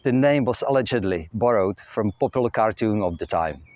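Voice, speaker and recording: A young man with a Czech accent, hosting his own channel and telling stories in a steady, matter-of-fact way. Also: lowish voice, brisk. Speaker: channel host. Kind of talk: scripted narration. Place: outdoors near a castle.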